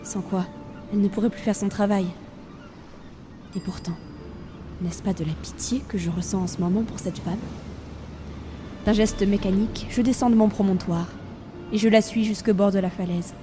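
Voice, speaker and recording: A woman's voice: mid-range voice, steady tone, moderate pace, pauses often, lightly acted. A woman playing Death as a weary reaper burdened by the role, in a grave, theatrical audio drama monologue. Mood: brooding, torn. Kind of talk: narrated audio fiction, dramatic first-person monologue. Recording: music behind the voice.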